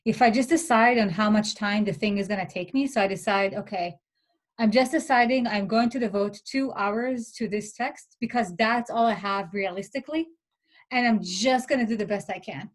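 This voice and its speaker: A woman's voice: mid-range voice, normal speed. A woman whose first language is not English, a graduate instructor giving reassuring, chatty advice over a video call, with lots of "like" fillers. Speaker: graduate student instructor leading a workshop. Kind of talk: casual advice in an online workshop.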